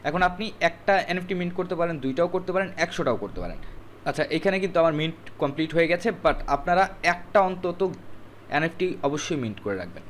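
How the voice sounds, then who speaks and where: A man's voice: fairly high pitch, expressive, quick pace, a little hiss or hum. A man narrating a screen tutorial step by step, instructive and mixing in English words. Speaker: tutorial presenter.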